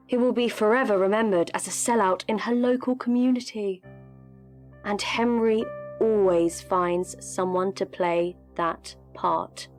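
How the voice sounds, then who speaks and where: A woman plays a shrewd operative from a rival political party in a radio drama, with music under the voice. She is knowing and insinuating, steering with pointed questions at a medium pitch. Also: close to an everyday voice. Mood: condescending, menacing. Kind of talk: radio play acting.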